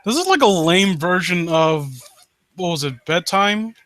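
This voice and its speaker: Sarcastic man with a bright, forceful voice. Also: high voice, sing-song, a little slow, dry recording. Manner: sarcastic, mocking, sweary. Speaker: host reading and critiquing horror stories live. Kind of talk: casual riffing commentary with read-aloud passages.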